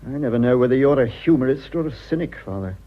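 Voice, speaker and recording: An actor playing a wronged upper-class English husband in a British radio drama, clipped, haughty and indignant. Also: male voice, medium pitch, animated, fast talker, dull audio, lightly acted. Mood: reluctant, aggrieved.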